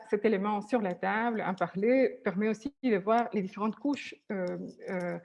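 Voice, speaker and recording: A woman's voice: medium pitch, darkish tone. Hesitant Spanish-native woman therapist. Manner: hesitant, many restarts and fillers. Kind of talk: talk on language in therapy.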